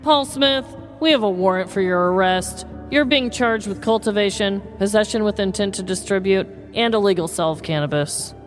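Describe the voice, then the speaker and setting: An officious police officer as a male narrator plays it in comic storytelling. The delivery is formal and declamatory, pitched well up from a natural speaking voice. Music is faint behind it. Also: normal speed, hardly any pauses, lightly acted.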